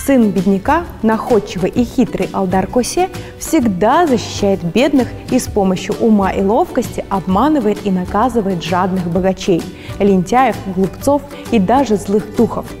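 Female lecturer, warm storytelling read for kids, soft music bed. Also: mid-range voice, lively intonation, moderate pace, long reverb tail. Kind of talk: scripted narration for children.

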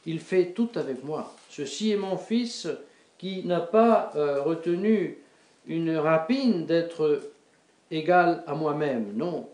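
A middle-aged male priest preaching a sermon in a small chapel, halting and repeating words with many fillers. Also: fairly high pitch, a little mellow. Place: small wood-panelled chapel before an altar. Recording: echoey chapel.

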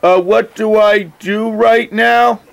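A man on a phone call speaking in a halting, deliberate, literal way as he spells and reads aloud. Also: high-pitched, fairly even intonation, gravelly. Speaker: phone call recipient stringing a caller along. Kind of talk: slow phone conversation, spelling and reading aloud.

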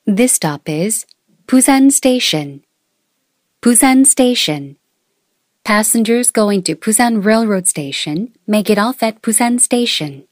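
Very slow, deliberate female transit announcer. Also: on the high side, expressive, dry recording, studio quality. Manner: formal, deliberate. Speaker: English-language subway announcer. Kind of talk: scripted public transit announcement.

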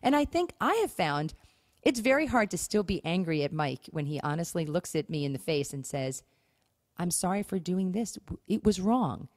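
A woman with a fairly low voice talks as a podcast co-host in a very dry, close recording. She makes her points emphatically and voices example phrases as she goes. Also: sing-song, moderate pace, no room sound. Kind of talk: conversational talk on marriage advice.

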